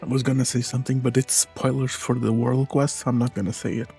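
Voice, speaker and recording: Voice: mid-range voice, flat delivery, fast talker, mellow, faint music behind. A gaming video creator giving casual, offhand commentary over a playthrough, with dry remarks.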